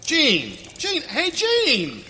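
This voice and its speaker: A man plays a concerned, hot-headed settler with a frontier in a live radio play. His high, very sharp voice moves animatedly and carries hall reverb. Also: huge pitch swings, extremely slow, heavily acted. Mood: agitated. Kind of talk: live western radio drama acting. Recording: reverberant hall, faint background noise.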